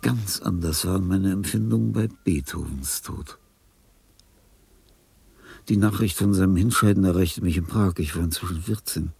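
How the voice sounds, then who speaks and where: An actor playing a lonely, dying, famous old composer in a radio drama, reflective and pompous, with a husky, gravelly voice. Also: male voice, low-pitched, a little mellow, dry recording, exaggerated voice. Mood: reminiscing. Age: older. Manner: declamatory, pompous, pausing, emphatic.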